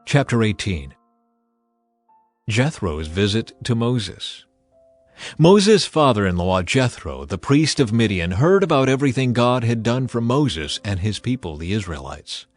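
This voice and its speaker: A man narrating scripture from a script in a measured, solemn, dramatized style. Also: fairly low pitch, sing-song. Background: music.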